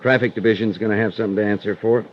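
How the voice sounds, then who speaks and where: A man acting a gruff American police sergeant in a 1950s radio crime drama. His voice is on the low side, and he sounds skeptical and matter-of-fact. The vintage broadcast is muffled. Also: steady tone, very fast, slight background noise, close to an everyday voice. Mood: grim, firm. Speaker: supporting radio actor.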